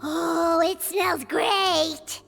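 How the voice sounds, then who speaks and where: A woman voicing a small, chirpy, childlike fairy companion in a video game, squeaky and excitable. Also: extremely slow, vocal fry, long reverb tail, faint music behind, full character voice. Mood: cheerful, eager. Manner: excitable, cutesy.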